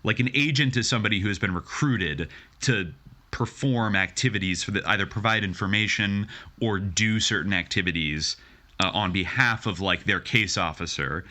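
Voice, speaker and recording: A man's voice: fairly low pitch, normal speed, bright, forceful tone. An American man hosting a podcast, talking casually and analytically in hedged, exploratory sentences. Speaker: podcast host.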